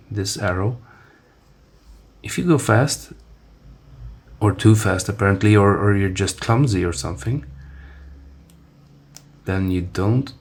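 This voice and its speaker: A man whose first language is Dutch, casually reviewing a phone while he demonstrates it, with many restarts and fillers. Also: fairly low pitch. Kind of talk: casual hands-on product review.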